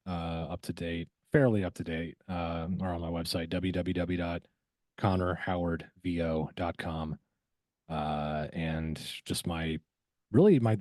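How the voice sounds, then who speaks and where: A man with a very low, dark and mellow voice talks as an interview guest. He is easygoing and encouraging, chatting informally. The sound is close and very dry. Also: no room sound. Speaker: podcast guest, voice actor. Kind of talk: casual interview chat.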